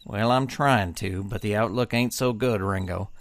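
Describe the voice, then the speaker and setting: A man playing a calm, heroic cowboy deputy fish with a Western drawl in a cartoon audio drama. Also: on the low side, moderate pace, no room sound, natural voice. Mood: downcast. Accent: American Western drawl, put on.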